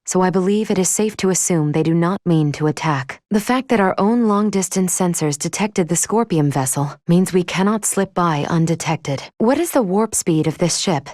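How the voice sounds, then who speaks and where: Game casting: actress as a logical female android ship's officer, lowish voice, formal, clinical and measured, recorded dry. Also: darkish tone, no echo, close to an everyday voice. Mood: calm, analytical. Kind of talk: scripted video game voice acting.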